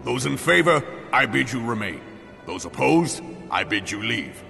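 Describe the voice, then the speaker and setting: A man's voice: mid-range voice, sing-song, measured pace, creaky, full character voice. An actor playing a stern, dignified statesman in a fantasy game, speaking with formal, solemn authority. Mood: solemn, official. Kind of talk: dramatic fantasy game dialogue. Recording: long hall reverb under loud music.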